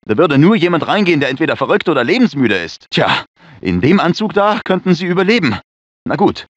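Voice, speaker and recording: A fussy, anxious research scientist from a video game. His formal, precise delivery swings animatedly in pitch with nervous urgency. The clip is dry and slightly lo-fi. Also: big pitch swings, fairly quick, no room sound. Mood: tense, explanatory. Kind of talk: dubbed video game voice acting. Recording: dull, lo-fi game audio clips.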